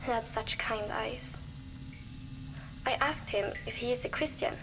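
An actress playing a young woman survivor, reflective and determined, recording a measured audio diary for a video game. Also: fairly high pitch, over music, poor audio. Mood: calm, hopeful. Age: young adult.

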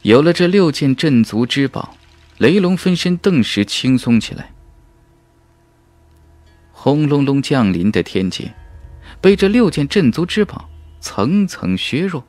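A man reading a fantasy novel as an audiobook narrator, in a dramatic, measured and emphatic storytelling manner. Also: fairly high pitch, very animated, darkish tone, dry recording, faint music behind.

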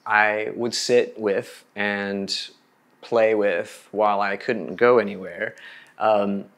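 A man's voice: lowish voice, a bit bright. A middle-aged man from Oklahoma with an American accent, telling his story as an artist in an interview. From Oklahoma, United States. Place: bright room indoors.

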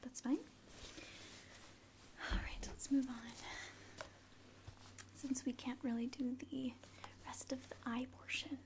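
A woman in her twenties whispering gently and breathily in an ASMR medical exam roleplay, giving polite, hesitant instructions. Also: high-pitched, level tone, takes it slowly, long pauses. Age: 20s. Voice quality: breathy whisper and very soft speech. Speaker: ASMR roleplayer playing an alien doctor. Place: small indoor room at home.

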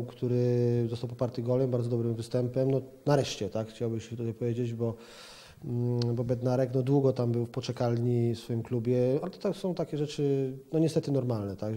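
A man's voice: mid-range voice, dark tone. A man in his forties answering questions as a football pundit in a TV interview, hesitant with many fillers and restarts, recorded indoors on a handheld reporter's mic. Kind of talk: TV sports interview. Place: indoor office room with plant. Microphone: handheld reporter mic held toward him.

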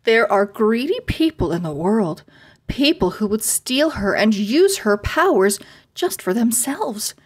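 Fairy-world girl, played by a woman, high-pitched, calm, confident, friendly, reassuring storybook delivery. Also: a little slow.

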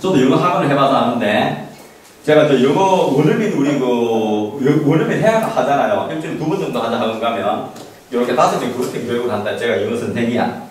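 A man's voice: medium pitch, fast. A middle-aged man giving an informal, emphatic lecture to parents, often asking rhetorical questions. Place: small classroom. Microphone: handheld mic, recorded by a camera at a distance. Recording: echoey room, distant mic, background noise.

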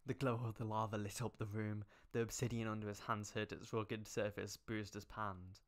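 Male streamer, lowish pitch, sarcastic read-aloud with mocking asides and stumbles. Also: level tone, moderate pace. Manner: sarcastic, stumbling over words.

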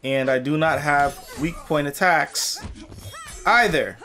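Young guy streaming a mobile fighting game, excitable, voice kinda going, bright tone. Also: on the high side, takes it slowly, soft background music. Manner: excitable, swings from muttering to yelling at the game. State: losing his voice. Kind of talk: casual gameplay commentary. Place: home gaming setup indoors.

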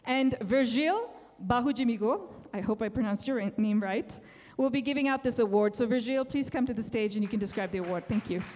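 Upbeat lady emcee, a bit high voice, handheld mic, echoey hall. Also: a little fast, a little mellow. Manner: upbeat, urging applause. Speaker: event host. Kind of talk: emcee announcements at an awards event. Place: event hall on a stage. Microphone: handheld microphone. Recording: reverberant event hall.

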